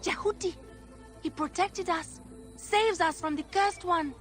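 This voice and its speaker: A woman's voice: very high voice, unhurried, a bit bright, soft background music. A woman voicing an earnest, devout small child in a video game, speaking simply. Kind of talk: dramatic video game cutscene acting.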